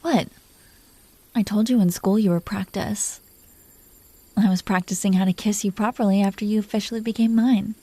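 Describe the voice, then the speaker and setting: A woman performs a proud dragon queen who is possessive and secretly tender with her lover. Her voice is dark, soft-edged and husky. She teases in a breathy, haughty, lingering way, made for close, intimate roleplay audio. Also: medium pitch, fairly even intonation, moderate pace, pauses often, no room sound. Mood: teasing, commanding. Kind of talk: intimate roleplay audio drama, often whispered.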